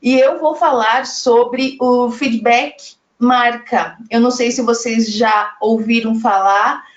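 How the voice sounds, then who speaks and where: A woman from Brazil hosting a live web panel, chatting easily and reading audience comments aloud. Her voice sits a bit high. The call audio is roomy and echoey. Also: fairly even intonation, slow, muffled recording. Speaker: panel moderator. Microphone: video call.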